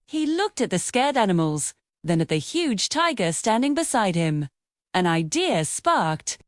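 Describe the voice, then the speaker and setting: A woman narrating a scripted children's bedtime story in an expressive, dramatic storytelling manner. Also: mid-range voice, a little slow, dry recording, studio quality.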